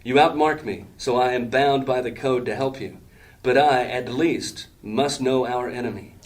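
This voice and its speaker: A proud leader of a thieves' gang, voiced by a man in a fantasy radio play. He talks in a formal, ceremonious way, with a mid-pitched voice. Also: normal speed, lightly acted. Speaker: actor. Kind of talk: audio drama acting.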